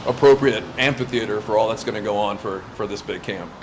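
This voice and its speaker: A man's voice: fairly low pitch, fairly quick, a little hiss or hum. A man from Southern California whose first language is Lithuanian, answering interview questions about a scout camp in an eager, casual way. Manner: eager, with restarts and light laughs.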